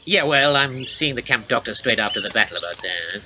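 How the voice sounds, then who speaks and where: An actor playing a dismissive, sarcastic military leader who talks casually. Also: male voice, medium pitch, expressive, brisk, background noise, natural voice. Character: cocky sardonic military leader, male.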